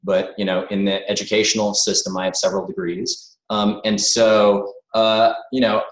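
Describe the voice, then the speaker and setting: A man's voice: lowish voice, level tone, normal speed, echoey room, low-quality recording. A man speaking as an interview guest over a video call, thoughtful and hesitant, with many filler words. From born in the United States, raised abroad. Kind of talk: reflective conversational interview.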